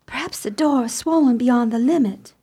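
A woman's voice: on the high side, moderate pace, dark tone, breathy edge. A woman playing a timid, easily frightened, grieving sister in a radio chiller, with a fearful, pleading, emotional delivery. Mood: nervous. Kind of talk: theatrical radio play acting.

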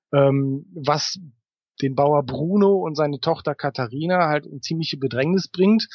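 A man's voice: on the high side, no echo. A man answering as an interview guest, a novelist who explains things at length in a thoughtful, hesitant, conversational way.

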